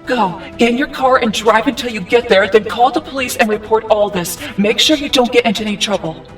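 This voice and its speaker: A woman's voice: fairly high pitch, brisk, recorded from a distance, faint music behind, lightly acted. A woman playing a protective, firm young female superhero in an audio drama. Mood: urgent, firm. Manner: urgent, firm.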